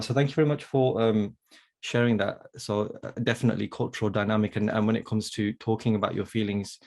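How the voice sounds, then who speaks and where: A man's voice: on the low side, vocal fry, darkish tone. A man moderating a panel, thanking the guests and asking long, rambling questions. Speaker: host.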